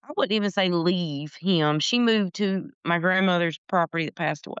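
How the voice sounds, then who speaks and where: A woman's voice: fairly low pitch, moderate pace, a bit bright, clean tone, no echo, dull audio. A woman in her forties, a podcast co-host speaking candidly and joking as she talks. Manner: candid, jokey, laughs mid-sentence. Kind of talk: casual conversation.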